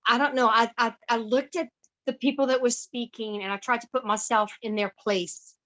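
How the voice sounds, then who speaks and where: Reflective woman speaking slowly. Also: on the high side, steady tone, fairly bright tone. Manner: reflective, slow.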